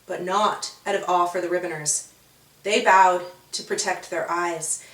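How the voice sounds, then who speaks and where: A woman narrating a scripted children's story in a measured, dramatic storytelling manner. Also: mid-range voice, fairly bright tone. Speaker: author and narrator. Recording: roomy, distant from the mic.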